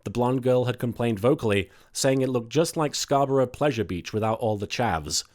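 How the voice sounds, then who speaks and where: A man with a medium-pitched voice presents and reads comic fiction aloud. He speaks as a host and storyteller, moving between casual talk and scripted narration. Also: moderate pace, darkish tone. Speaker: host and fan fiction reader.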